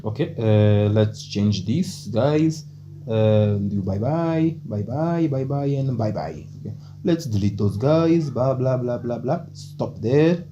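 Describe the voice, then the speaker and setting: Male tutor, Portuguese first language, chatty and informal. Dark mellow tone, muffled recording. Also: medium pitch. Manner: loose, chatty, keeps adding "okay?", thinks aloud with small slips. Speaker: programming tutor. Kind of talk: casual screen-recorded coding lesson. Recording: dull lo-fi mic with faint hum.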